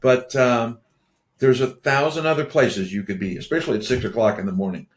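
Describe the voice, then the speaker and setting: A man's voice: medium pitch, fairly quick. Rambling male podcast host in a roomy space. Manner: rambling, repeats words and restarts often. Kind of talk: casual interview chat. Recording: roomy echo.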